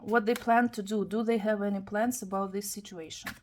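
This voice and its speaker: A woman from Kazakhstan, not an English speaker, giving a tarot reading in careful, halting English. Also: mid-range voice, steady tone, a little mellow, slight background noise. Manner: careful, halting non-native English with restarts. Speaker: tarot reader.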